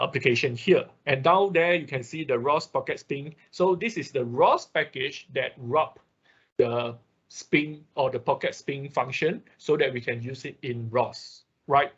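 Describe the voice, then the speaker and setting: A man who is a non-native English speaker with an accent, teaching an informal online technical class in a husky voice, explaining step by step with frequent check words. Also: mid-range voice, a bit bright. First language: not English. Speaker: instructor. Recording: dull, lo-fi online class audio.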